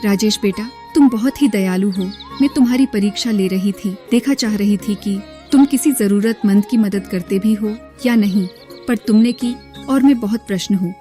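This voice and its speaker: A woman's voice: mid-range voice, normal speed, warm tone, soft background music, lightly acted. A woman voicing a benevolent mother goddess in a cartoon, speaking in a gracious, solemn way. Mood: pleased, benevolent. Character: benevolent mother goddess granting a magical boon. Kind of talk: Hindi cartoon voice acting.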